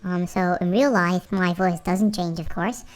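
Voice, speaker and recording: A man demonstrating software casually, his voice raised by a pitch-shifting voice changer into a helium-like demo voice. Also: fairly even intonation, measured pace. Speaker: tutorial host.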